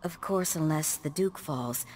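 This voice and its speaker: An actress playing an earnest, educated woman leader in a video game, composed, measured and formal. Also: low voice, a little mellow, a little hiss or hum.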